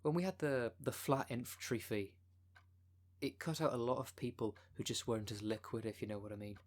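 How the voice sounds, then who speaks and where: A man playing a smooth-talking, con-artist female minister of a demon cult in an ASMR roleplay, with a polished, unctuous sales manner. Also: medium pitch, fairly quick, lightly acted. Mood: persuasive. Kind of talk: ASMR roleplay audio drama.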